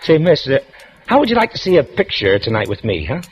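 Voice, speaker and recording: A folksy police lieutenant in an old radio drama. He is amused and forward, with a lively, swooping voice that rises and falls, and he keeps chuckling through what he says. It sounds like a 1940s radio play. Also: on the high side, brisk, husky, highs cut off, exaggerated voice. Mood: flirtatious. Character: wisecracking, flirtatious police lieutenant, man.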